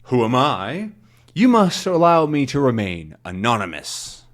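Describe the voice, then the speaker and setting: Storybook villain played by a male reader: phantom captain, mocking, menacing, very animated. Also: mid-range voice, a little slow, soft background music. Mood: commanding. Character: taunting male villain's hidden voice, posing as captain's ghost.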